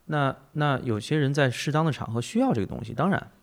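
A man's voice: mid-range voice, animated, fast, vocal fry. A man hosting a podcast, musing philosophically in conversation, emphatic, with repetition and rhetorical questions. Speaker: podcast host.

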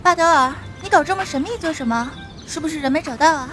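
Woman as a spirited high-voiced young archer. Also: quick pace, music in the background, close to an everyday voice. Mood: impatient. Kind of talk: Chinese audio drama acting. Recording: clear background ambience noise.

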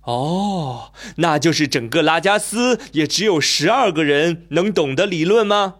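A man's voice: fairly high pitch, lively intonation, a little slow, fairly bright tone. A man playing an inquisitive young reporter in a radio drama, polite but sceptical, with a questioning manner. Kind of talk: Chinese radio drama acting.

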